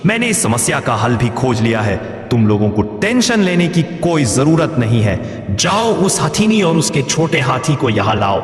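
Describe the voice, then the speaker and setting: A man's voice: mid-range voice, very animated, moderate pace, close to an everyday voice. A man voicing a stern, proud, aging lion king in an animal cartoon, speaking emphatically in an admonishing, commanding way. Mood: firm, reassuring. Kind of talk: animated moral story dialogue. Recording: echoey, long reverb.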